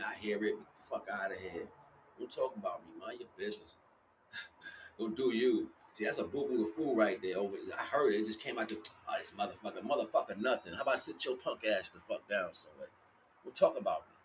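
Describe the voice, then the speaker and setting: Low-voiced American man, noisy recording. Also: brisk. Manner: emphatic, repetitive, street slang, peppered with profanity. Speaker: livestream host giving a spiritual message. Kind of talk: informal spiritual monologue. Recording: clearly noisy, ambient background noise.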